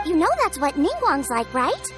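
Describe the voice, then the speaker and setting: A woman's voice: high voice, huge pitch swings, moderate pace, a bit bright, exaggerated voice. A woman voicing an upbeat, easygoing teenage girl chef in a video game, with a girlish, frank and encouraging delivery. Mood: reassuring. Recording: clean studio voice over game music. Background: tinkly children's-style game music.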